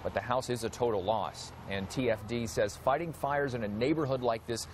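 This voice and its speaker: Male news anchor, steady measured read, mid pitch, live newscast. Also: a little hiss or hum. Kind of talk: newscast reading.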